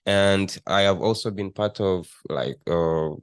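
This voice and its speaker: A young man from Rwanda talks about his path in a deep, low voice. He speaks thoughtfully, pausing and restarting his sentences as he goes. The sound is dry, with no room echo. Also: smooth. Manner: thoughtful, halting, with frequent restarts and fillers. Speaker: guest, student entrepreneur. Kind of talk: conversational interview.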